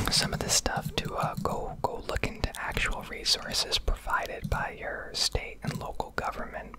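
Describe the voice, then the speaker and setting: Woman whispering an ASMR rant. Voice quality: breathy unvoiced whisper. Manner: profane, opinionated, hesitant pauses. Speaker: ASMR gaming streamer. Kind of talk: whispered ASMR rambling rant over gameplay.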